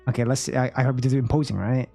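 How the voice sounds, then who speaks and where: A woman's voice: extremely low pitch, steady tone, very fast, creaky, warm tone, faint music behind. A woman live streaming a video game with casual, slangy commentary. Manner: slangy, informal.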